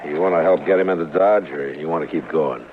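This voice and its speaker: An actor playing a steady, laconic, dry-humored frontier marshal in an old radio western, calmly authoritative. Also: male voice, extremely low pitch, fast talker, slight background noise. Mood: calm, firm. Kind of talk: 1950s radio western acting and narration. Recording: muffled old radio recording, music behind.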